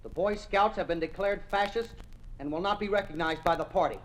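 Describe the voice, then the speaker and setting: A high-voiced, sneering boy played by a male actor who lords it over others as a cold party enforcer. His delivery is authoritarian old radio drama acting, on a faintly noisy vintage recording. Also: a little fast, natural voice. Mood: cold, taunting. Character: taunting, cold boy enforcer of a totalitarian youth club.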